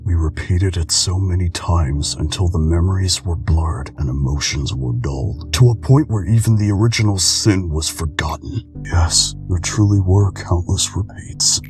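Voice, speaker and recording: A man plays a weary old keeper of memories from a video game, with a very low voice. He is calm, solemn and melancholic, delivering a measured dramatic monologue over clearly audible music. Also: lightly acted. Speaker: amateur voice actor in a game fandub.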